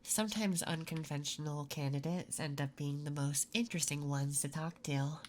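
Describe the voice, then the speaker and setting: A woman playing a curious, attentive interviewer in an unhurried, intimate, soft-spoken ASMR roleplay. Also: low voice, steady tone, background noise, natural voice. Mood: softly intrigued. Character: curious, attentive female interviewer in a late-night office. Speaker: ASMR performer.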